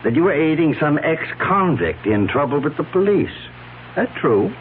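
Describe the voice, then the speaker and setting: An actor playing a formal, cautious attorney who speaks in a measured, deliberate way, in a 1970s radio drama. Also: male voice, a little high, big pitch swings. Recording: tinny lo-fi old radio broadcast with faint hiss.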